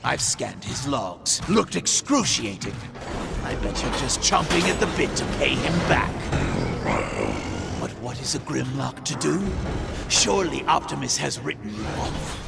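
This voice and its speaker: An actor playing a treacherous male robot schemer who talks in a mocking, manipulative, raspy way. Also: medium pitch, lively intonation, a little slow, heavy background noise. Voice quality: raspy, sneering. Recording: game cutscene over loud music.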